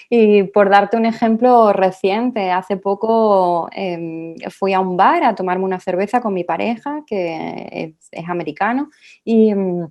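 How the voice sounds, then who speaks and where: A woman's voice: medium pitch, a little mellow. A woman in her thirties from Spain with an Andalusian accent, answering interview questions in a thoughtful way, with frequent small restarts and tag questions. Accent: Andalusian Spanish. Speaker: writer being interviewed.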